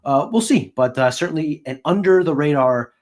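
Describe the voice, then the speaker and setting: A man co-hosting a sports podcast, giving casual commentary in a measured, wry way. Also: a little high, expressive, a little mellow.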